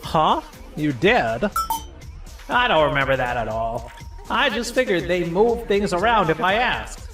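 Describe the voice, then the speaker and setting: A man acts out an arrogant manager from a manga dub. His voice is high and very animated, with smug, dismissive bluster. Music plays behind him. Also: measured pace. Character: arrogant, careless male contractor manager, self-serving and dismissive. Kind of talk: scripted manga dub voice acting.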